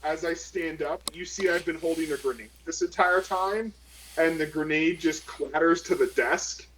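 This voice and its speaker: Rambling, foul-mouthed man with a higher voice. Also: normal speed, fairly bright tone, a little hiss or hum, low-quality recording. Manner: rambling, profane. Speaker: tabletop game player. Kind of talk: casual improvised narration of a character's actions.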